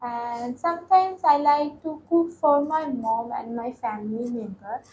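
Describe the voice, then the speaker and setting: A teenage woman from Bangladesh, answering exam questions slowly with many ums and pauses. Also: a little high, darkish tone, a little hiss or hum, poor audio. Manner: hesitant, frequent ums and pauses. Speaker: test candidate. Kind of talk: mock speaking exam answers.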